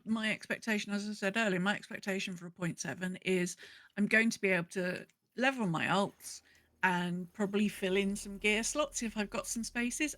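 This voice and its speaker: A woman's voice: mid-range voice, a bit bright, no echo. Woman chatting casually, close and dry. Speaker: podcast host. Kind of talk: casual gaming podcast chat.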